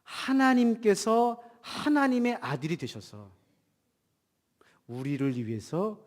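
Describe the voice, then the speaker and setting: A man preaching a church sermon as pastor with a cold, emphatic, swinging from loud declarations to hushed asides and putting questions to the congregation. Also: sits high, slow. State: has a cold. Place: church hall during a service. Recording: long hall reverb in church.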